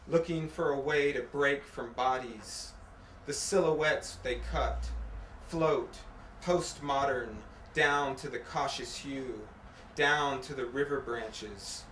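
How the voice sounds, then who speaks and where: Slow, deliberate man reading poetry, echoey room. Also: mid-range voice, a bit bright. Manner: measured, deliberate phrasing with pauses between short lines. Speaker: poet reading own work. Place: apartment room at a live reading. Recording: echoey room, faint background noise.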